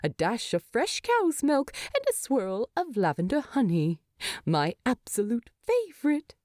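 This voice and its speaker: A fussy little storybook hobbit gentleman, voiced by a woman, proud and chatty. He explains things primly and earnestly, with a pitch that leaps about in a dry, close recording. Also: huge pitch swings, measured pace, dry recording. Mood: peeved, proud.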